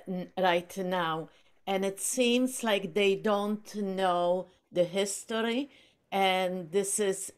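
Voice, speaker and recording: A woman with a Polish accent, a native Polish speaker, chatting on a video call as a book group guest, halting with frequent restarts and fillers. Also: mid-range voice, clean tone. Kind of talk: casual video call chat.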